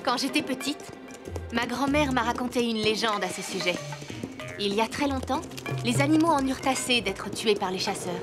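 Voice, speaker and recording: A gentle mother and healer played by a woman speaks in a fairly high voice with careful phrasing. She is caring, in children's cartoon style, with music clearly behind her. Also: expressive, normal speed, natural voice. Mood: storytelling. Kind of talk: French-dubbed children's cartoon acting.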